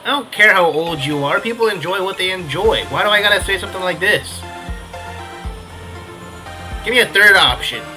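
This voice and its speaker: A man's voice: high-pitched, lively intonation, normal speed, pauses often, pushed and bright, soft background music. A man giving casual, offhand commentary while playing through a story game. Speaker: gamer reacting to a story quest.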